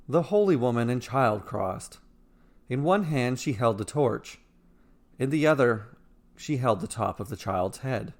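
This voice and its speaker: A male storyteller reads a scripted fantasy tale. His voice sits at a medium pitch, and his delivery is measured and dramatic.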